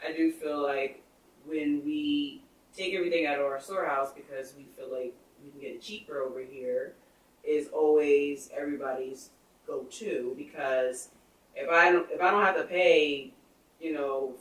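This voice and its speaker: Female, 30s, podcast co-host, earnest casual opinions, mic far off in a roomy space. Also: deep voice. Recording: echoey room, far from the mic.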